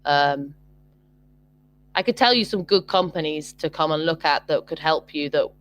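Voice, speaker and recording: Young British woman, online tutor, slightly low pitch, hesitant casual delivery over a video call. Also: moderate pace, fairly bright tone, no echo. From Britain. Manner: hesitant, many ums and restarts.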